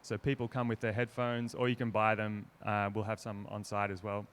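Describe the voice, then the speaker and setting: A man's voice: fairly low pitch, monotone, fairly quick, a bit bright, smooth. A man, explaining his work casually and thoughtfully as an interview guest. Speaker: theatre maker being interviewed.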